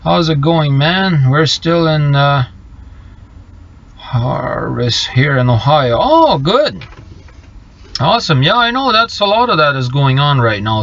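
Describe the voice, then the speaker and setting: Halting Low man on a lo-fi live stream. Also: fairly bright tone. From Bolivia. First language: Low German. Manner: halting, many fillers and restarts. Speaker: trucker vlogger reading live chat. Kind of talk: casual live stream chat. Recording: slightly muffled lo-fi live stream.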